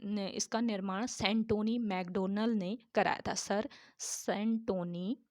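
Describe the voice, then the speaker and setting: A woman tutor with a sore throat teaching an exam-prep lesson, repeating each key answer slowly so students can note it down. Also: mid-range voice, fairly even intonation, darkish tone, dry recording, studio quality.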